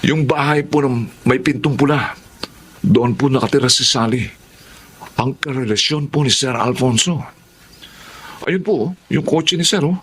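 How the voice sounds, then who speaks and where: An actor with a Filipino accent playing a suspicious, meddling office employee, conspiratorial and deferential, in radio drama style. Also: male voice, medium pitch, unhurried, pauses often, slight background noise, natural voice. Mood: informing.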